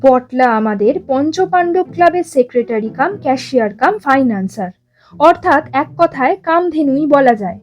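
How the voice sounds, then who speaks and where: A woman reading a scripted story as narrator, with dramatic, expressive delivery. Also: high-pitched. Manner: expressive, acts out characters' dialogue.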